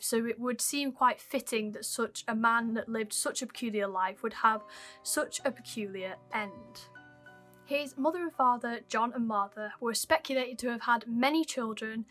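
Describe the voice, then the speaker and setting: A young woman hosting her channel, telling an old mystery case in a chatty way while her voice is croaky and fading. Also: a little high, a bit bright. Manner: chatty, apologetic, dwells on odd details. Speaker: YouTube host. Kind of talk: casual mystery storytelling to camera.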